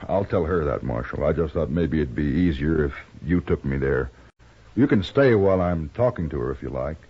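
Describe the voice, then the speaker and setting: Humble, folksy American rancher, old West radio drama; low, husky, sorrowful voice on muffled vintage recording. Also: male voice, lively intonation, moderate pace, heavily acted. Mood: reserved, polite. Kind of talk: 1950s radio western acting.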